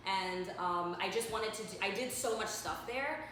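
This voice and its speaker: A woman's voice: medium pitch, moderate pace, slight background noise. Chatty woman in an echoey room. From Manhattan, New York. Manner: animated, laughing, full of fillers. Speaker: co-host. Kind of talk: casual chat.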